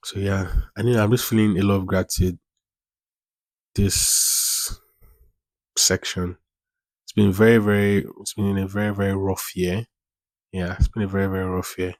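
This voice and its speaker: A man's voice: low voice, little pitch movement, moderate pace, pauses often, no echo. A man in his twenties hosting a casual solo podcast, rambling, repeating phrases and using informal slang. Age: 20s. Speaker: podcast host.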